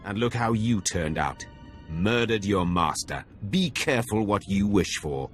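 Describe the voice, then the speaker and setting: Sneering, cruel slave overseer. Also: male voice, fairly low pitch, lively intonation, unhurried, soft background music. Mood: sardonic. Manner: sneering, scornful. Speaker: Sith academy overseer character. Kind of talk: video game cutscene acting.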